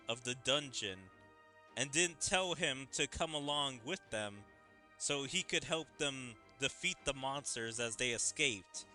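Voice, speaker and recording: A man giving commentary on a game story in an animated way with drawn-out phrasing. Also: mid-range voice. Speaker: gaming content creator. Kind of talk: game story commentary and dialogue read-aloud.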